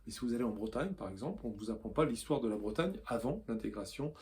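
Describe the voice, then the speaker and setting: Earnest French-speaking man, mellow voice. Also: medium pitch, animated, quick pace, slight background noise. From France. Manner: earnest, with frequent hesitations. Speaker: newsletter columnist. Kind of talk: opinion video commentary.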